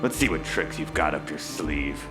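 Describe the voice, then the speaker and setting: An actor playing a hulking, mocking turncoat villain in a dark audio drama, taunting and cold in his delivery. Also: a bass voice, expressive, moderate pace. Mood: taunting menace. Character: menacing, mocking hulking male turncoat, superhumanly strong. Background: music.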